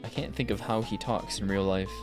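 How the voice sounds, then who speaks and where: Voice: low voice, fairly even intonation, fairly quick, music in the background. A livestreamer and voice actor chatting casually and thinking aloud as they go.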